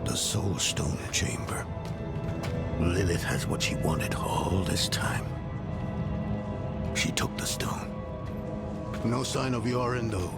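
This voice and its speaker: An actor playing a weary, solemn older guardian and father in a fantasy game, speaking gravely with a gravelly voice. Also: deep voice, lively intonation, exaggerated voice. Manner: grave, measured. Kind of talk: dark fantasy game dialogue acting. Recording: music behind the voice. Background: music.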